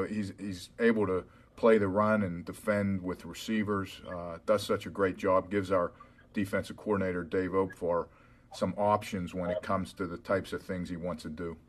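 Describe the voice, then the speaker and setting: Very low-voiced American football coach. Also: male voice. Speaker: college football head coach. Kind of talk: answering questions on a media conference call.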